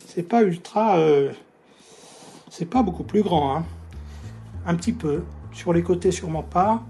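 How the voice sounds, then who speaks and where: A man giving a casual review of a tablet, hoarse and halting, with frequent filler sounds. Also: a little high. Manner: hesitant, many filler sounds. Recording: music clearly playing behind voice.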